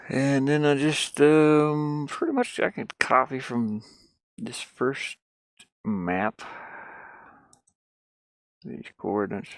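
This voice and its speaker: A man's voice: medium pitch, fairly even intonation, slow pace, pauses often, no room sound, studio quality. A man casually thinking aloud as he works on a game, muttering to himself in short fragments. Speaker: hobby game developer sharing a work session. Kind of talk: casual think-aloud screen commentary while working.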